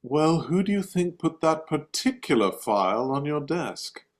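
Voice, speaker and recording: A man's voice: on the high side, big pitch swings, measured pace. A man acting a wry, benevolent celestial boss with a knowing, authoritative manner at a table read. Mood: wry, reassuring.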